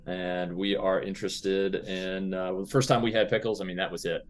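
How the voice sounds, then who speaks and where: A man chatting casually as a player in a tabletop roleplaying game, improvising in halting, deliberate phrases. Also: deep voice, level tone.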